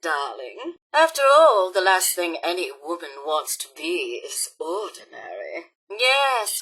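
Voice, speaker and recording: A woman's voice: lowish voice, sharp tone, breathy edge, full character voice, poor audio. A woman voicing a glamorous, haughty female robot supervisor in a video game, with a grand, affected theatrical drawl. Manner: grand, affected diva drawl, calls everyone darling.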